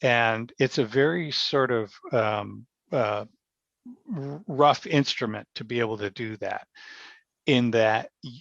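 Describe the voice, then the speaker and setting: A man telling stories casually as an interview guest, animated and self-effacing. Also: mid-range voice, takes it slowly, creaky. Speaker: guest, radio theatre director. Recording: dull, muffled lo-fi roomy sound.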